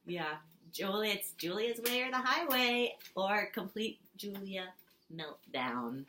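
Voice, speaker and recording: Giggly woman with a bright voice. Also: medium pitch, expressive, slow, echoey room, a little hiss or hum. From Switzerland. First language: Swiss German. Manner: giggly, jokey, fills gaps with "um". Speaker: contestant. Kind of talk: casual audition tape. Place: small apartment at home.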